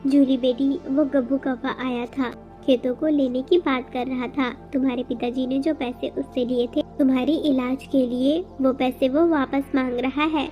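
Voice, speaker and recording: A woman's voice: high voice, steady tone, moderate pace, hardly any pauses, highs cut off, faint music behind, close to an everyday voice. A woman voicing an anxious, tender widowed mother bird in a children's cartoon, pleading and earnest. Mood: worried, sorrowful. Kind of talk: Hindi children's cartoon dialogue.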